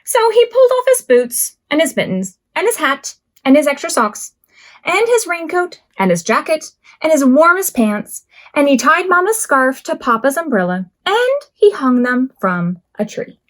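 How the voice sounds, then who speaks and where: A woman with a somewhat high-pitched voice hosts a preschool storytime. She reads aloud and explains things in simple phrases aimed at young children, adding small words of encouragement. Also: big pitch swings, normal speed. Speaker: library storytime host. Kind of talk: preschool read-aloud and instruction.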